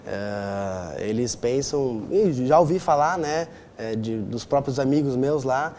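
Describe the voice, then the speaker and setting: Man in his twenties, southern Brazilian accent, mid-pitched voice, detailed conversational interview answers, slight background noise. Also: expressive, slow pace, darkish tone. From Rio Grande do Sul, southern Brazil. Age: 20s. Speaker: interview guest.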